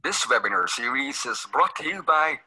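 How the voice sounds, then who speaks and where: A man with a husky voice reading a scripted promotional announcement. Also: mid-range voice, lively intonation, normal speed, sharp tone.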